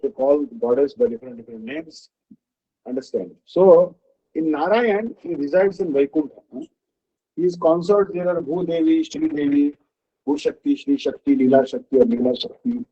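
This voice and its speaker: Man, spiritual teacher, halting delivery with "huh?" check-ins, higher voice, muffled lo-fi recording. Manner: halting, with frequent "uh" and questioning "huh?". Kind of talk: devotional discourse. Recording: dull lo-fi recording.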